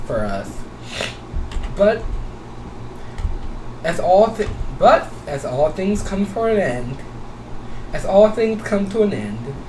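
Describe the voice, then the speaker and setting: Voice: mid-range voice, a little mellow. A gaming video host giving casual commentary and a sign-off, gushing and repeating phrases over and over. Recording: noisy, echoey room, distant mic.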